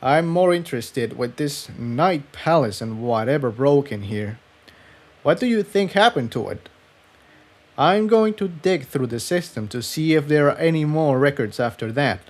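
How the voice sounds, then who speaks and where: A man voicing a thoughtful, wary male unicorn adventurer in a story reading, serious and measured. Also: on the high side, expressive, darkish tone, close to an everyday voice.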